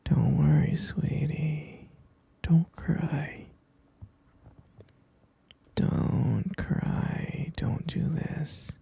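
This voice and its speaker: A man voicing an obsessive, sweetly possessive kidnapper in an ASMR roleplay, talking in a soft, clingy, soothing whisper that keeps insisting. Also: a little high, extremely slow, creaky, pauses often, breathy edge, no room sound, exaggerated voice.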